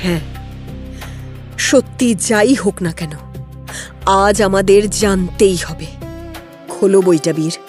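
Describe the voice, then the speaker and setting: A woman playing an anxious, dignified queen in an animated fairy tale, with a hesitant, dramatic delivery. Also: medium pitch, slow pace, pauses often, soft background music, lightly acted. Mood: solemn. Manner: hesitant, dramatic, repeating words.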